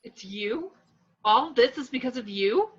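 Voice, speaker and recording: A woman's voice: a little high, expressive, unhurried, fairly bright tone, breathy edge, muffled recording, heavily acted. A woman playing a frightened young horror heroine in an amateur table read of a film script. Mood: shocked, fearful.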